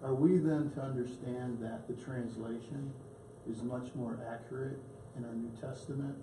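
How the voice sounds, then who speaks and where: A man's voice: medium pitch, steady tone, normal speed, dark tone. A man hesitantly asking his teacher a question in class. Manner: hesitant, groping for words. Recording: roomy echo, faint hiss.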